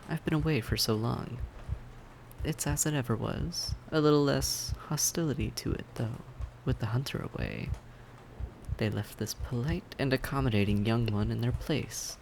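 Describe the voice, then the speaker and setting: A suave vampire acted by a man with a gravelly, mid-pitched voice speaks in courteous, measured phrases. He is patient and quietly menacing, teasing the listener in an audio roleplay, over faint background noise. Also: natural voice, low-quality recording. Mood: gloating, teasing. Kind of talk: scripted audio roleplay acting, addressed to the listener.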